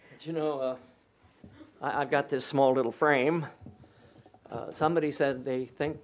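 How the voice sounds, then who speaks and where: An older man with a booming, commanding voice preaches to a congregation. He tells stories warmly and keeps joking with the people, laughing often as he goes. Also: fairly even intonation, moderate pace, slight background noise. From Wisconsin, USA. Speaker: pastor. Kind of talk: humorous sermon. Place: church hall with congregation.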